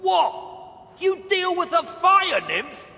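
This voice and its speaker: A gruff British dwarf broker in a fantasy video game. He is blunt and irritable, with a sharp, high-set, gravelly voice that swings widely in pitch. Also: sing-song, extremely slow, heavily acted. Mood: incredulous. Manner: blunt, irritable, swearing slang. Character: gruff, grumbling, grieving dwarf broker, crude-tongued. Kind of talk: video game cutscene acting.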